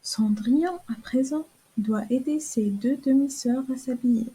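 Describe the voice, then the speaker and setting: Warm, breathy woman telling a children's tale. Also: high-pitched, measured pace. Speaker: storyteller. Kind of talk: scripted children's story narration.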